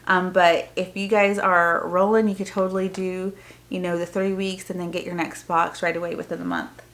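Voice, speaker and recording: A woman's voice: lowish voice, little pitch movement, normal speed, fairly bright tone. A woman vlogger giving an informal, explanatory unboxing, chatting casually and reading printed bits aloud as she goes. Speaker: homeschooling parent vlogger.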